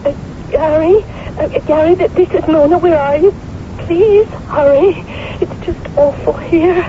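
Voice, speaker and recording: An actress playing a scatterbrained, panicky, babyish diva in an old-time radio drama, flustered, stammering and whiny. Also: female voice, very high voice, a little slow. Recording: noisy, muffled old radio broadcast recording.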